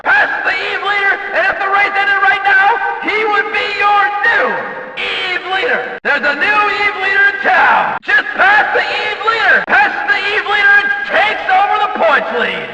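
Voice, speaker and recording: A man playing an excitable, brash race announcer in a video game, calling the action with emphatic, hyped-up shouts. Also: very high-pitched, background noise. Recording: echoey reverberant announcer sound, distant.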